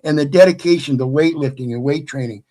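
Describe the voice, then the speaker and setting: A man's voice: fairly high pitch, brisk, warm tone. Blunt, preachy man on a panel. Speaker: panel guest. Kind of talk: casual panel talk.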